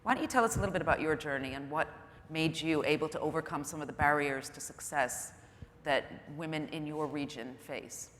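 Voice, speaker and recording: A woman moderating a panel, brisk as she introduces speakers and asks questions. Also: deep voice, fairly bright tone, slight background noise. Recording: reverberant hall with faint ambience.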